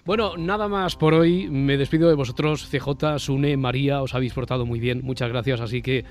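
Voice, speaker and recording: Spanish man hosting, mid-pitched voice. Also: steady tone, fairly quick. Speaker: podcast host. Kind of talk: casual round-table podcast hosting.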